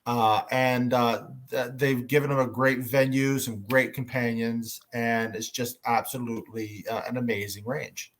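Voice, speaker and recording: A man hosting a fan panel in casual conversation, with a hesitant, halting delivery. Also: mid-range voice, little pitch movement, fairly bright tone.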